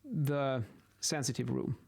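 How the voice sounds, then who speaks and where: A man in his thirties with a Swedish accent gives an informal explanation in a halting way, repeating words, close to a studio microphone in a small room. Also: mid-range voice, vocal fry, darkish tone, breathy edge. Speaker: video host, acoustics instructor. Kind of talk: informal explainer while sketching. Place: small indoor home office. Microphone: close boom-arm studio microphone.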